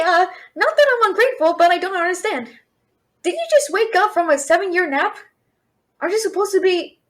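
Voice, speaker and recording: A woman playing a brash, fast-talking young female thief and failed alchemist in a fantasy audio roleplay, chattering with sarcastic, exasperated bluster. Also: extremely high pitch, background noise. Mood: startled, confused. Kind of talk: fantasy audio roleplay, addressing an unseen listener.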